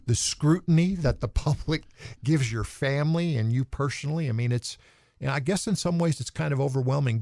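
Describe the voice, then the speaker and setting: A man's voice: mid-range voice, vocal fry, a little mellow, no echo, studio quality. A man hosting a talk radio show, introducing a guest and asking questions in a friendly, conversational way. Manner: friendly, conversational, with fillers and restarts.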